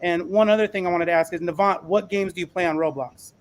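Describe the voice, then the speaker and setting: A man's voice: high voice, steady tone, quick pace. A male podcast co-host making reflective, conversational remarks.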